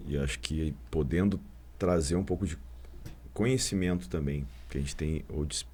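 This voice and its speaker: A man's voice: very deep voice, darkish tone. A man, a podcast guest, speaking earnestly and with emphasis. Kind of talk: podcast discussion.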